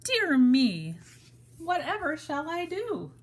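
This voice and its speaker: A woman's voice: sits high, huge pitch swings, slow, voice pushed up, heavily acted. A woman voicing a worried, earnest little male toy bunny in a children's storybook. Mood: wailing, distressed. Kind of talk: children's storybook read-aloud.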